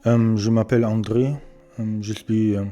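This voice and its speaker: A German man speaking French with a German accent, hesitantly introducing himself and showing his small home repair workshop, often pausing to search for words. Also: fairly low pitch, flat delivery, warm tone, soft background music. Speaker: electronics repair beginner presenting his channel. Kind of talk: casual self-introduction and workshop tour.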